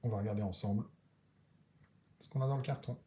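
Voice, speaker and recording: A man's voice: fairly low pitch, steady tone, fast, dark tone, a little hiss or hum. A man presenting a product unboxing, explaining each item step by step. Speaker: motorcycle gear video creator.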